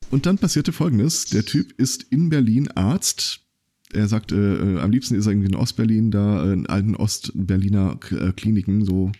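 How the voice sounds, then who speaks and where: A man's voice: lowish voice, mellow. A man hosting a casual podcast chat, telling stories loosely with many fillers and restarts. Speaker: podcast host.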